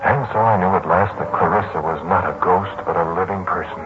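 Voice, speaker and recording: An actor playing a troubled, kindly lodger in a 1940s radio drama, telling his story in a brooding, deliberate way. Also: low voice, over music, lightly acted. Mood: brooding, reflective. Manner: brooding, deliberate storytelling that turns pleading in dialogue. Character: troubled, kindly male lodger narrating his haunted memories. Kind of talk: 1940s radio drama acting, first-person narration. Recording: muffled, noisy 1940s radio broadcast.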